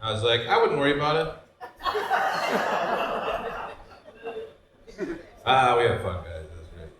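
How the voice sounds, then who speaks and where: A man in his forties from Michigan, with a Michigan accent, doing a deadpan stand-up set in a brewery with loose asides to the crowd. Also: medium pitch, slow pace, heavy background noise. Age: 40s. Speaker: stand-up comedian. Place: brewery stage before a live audience. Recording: roomy live venue sound.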